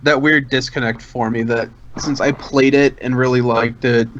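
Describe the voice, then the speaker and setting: A man co-hosting a casual podcast about games, congested and losing his voice, talking in a relaxed, reflective way. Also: medium pitch, moderate pace, dull audio, slight background noise. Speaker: podcast co-host. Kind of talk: casual chat.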